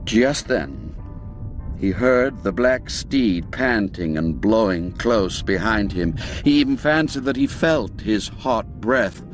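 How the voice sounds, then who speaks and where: A man narrating a classic radio story in a measured, dramatic way, dropping low and quiet for the grim moments. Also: lively intonation. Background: faint music score.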